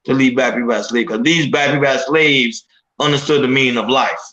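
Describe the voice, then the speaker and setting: A man's voice: medium pitch, fairly quick, reverberant, muffled recording, crowd noise. A man of Haitian descent on a casual podcast panel, speaking emphatically and often repeating himself as he builds a point.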